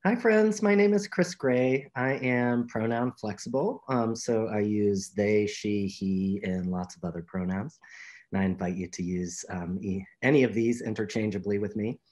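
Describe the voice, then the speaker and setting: A US artist giving an earnest, halting self-introduction on a video call from home. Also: mid-range voice, darkish tone. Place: home room. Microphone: video call webcam.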